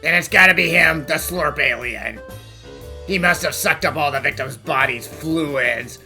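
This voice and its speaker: A woman voicing a grumpy, sarcastic sidekick in an animated mystery fan dub, with dry, snarky complaints. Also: deep voice, measured pace, soft background music, heavily acted. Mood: confident guessing.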